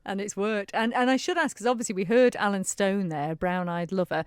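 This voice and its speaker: A woman's voice: mid-range voice, brisk, clear, resonant tone, no room sound. A woman presenting a live radio show in a studio, interviewing guests with bubbly enthusiasm and a Manx accent.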